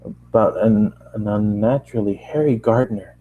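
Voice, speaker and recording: A man's voice: fairly low pitch, moderate pace, phone-quality sound, a little hiss or hum. A man narrating a first-person fan fiction story aloud, often stumbling and repeating phrases as he reads.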